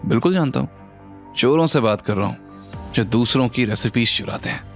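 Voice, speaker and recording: A man voicing a dangerous, calm young fighter in an audio drama, stern and deliberate with a sarcastic edge. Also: mid-range voice, creaky, soft background music, lightly acted.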